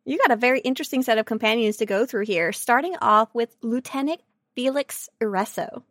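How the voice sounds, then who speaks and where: A woman co-hosting a podcast, chatting casually about game characters in a silly, animated, teasing way. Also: a little high, fairly quick, no room sound, clean studio sound. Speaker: podcast co-host.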